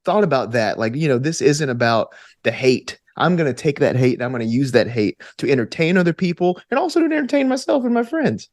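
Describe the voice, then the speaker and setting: A man's voice: medium pitch, animated, fairly quick, no echo. Man chatting casually, close dry sound. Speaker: podcast guest, gamer and game master. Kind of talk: casual conversational interview.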